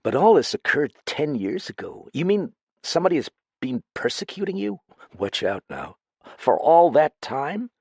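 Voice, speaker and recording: Excitable woman in broken English, put on by a male reader, high and pleading, husky edge, dry close sound. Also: dry recording.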